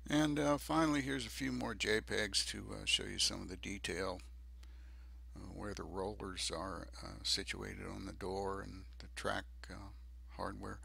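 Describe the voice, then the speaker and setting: An older man narrating a DIY project video off the cuff, halting and hesitant, with many ums. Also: lowish voice, flat delivery, creaky, sharp tone, slightly rough, dry recording. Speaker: DIY presenter.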